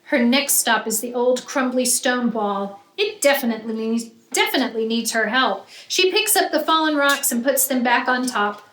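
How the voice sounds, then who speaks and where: A woman reading a picture book aloud to children like a teacher, with expressive, emphatic narration that draws out key words. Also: on the high side, moderate pace, hardly any pauses. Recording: roomy echo, faint background noise.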